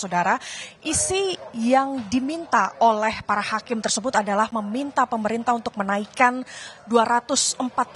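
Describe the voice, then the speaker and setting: A woman field reporter giving a live news report. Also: on the high side.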